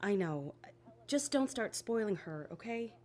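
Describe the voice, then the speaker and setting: An actress playing a practical, harried working wife and mother in an audio drama, brisk and no-nonsense. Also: medium pitch, animated, a little mellow, close to an everyday voice. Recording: clear background noise.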